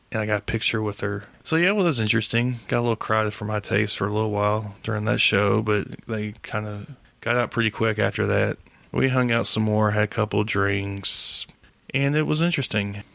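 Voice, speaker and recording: A man's voice: lowish voice, creaky, fairly bright tone, no echo. A man hosting a podcast solo, chatting casually in a rambling, hesitant, self-deprecating way with some swearing. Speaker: podcast host.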